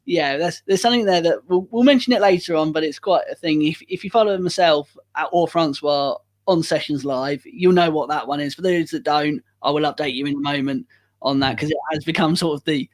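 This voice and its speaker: A man's voice: fairly high pitch, brisk. A man interviewing a musician, chatty and teasing, with stumbling restarts. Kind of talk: casual interview.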